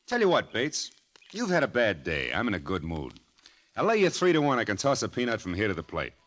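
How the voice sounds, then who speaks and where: An actor playing a smooth, sly high-rolling gambler in an old-time radio drama, casual and understated in his confidence. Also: wildly swooping pitch, brisk, fairly bright tone, full character voice.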